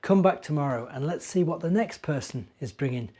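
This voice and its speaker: A middle-aged British man speaks in a very mellow, fairly high voice. He tells stories for young children as a minister, chatting simply and putting questions to his little listeners. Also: lively intonation, brisk, slight background noise. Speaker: church minister and storyteller.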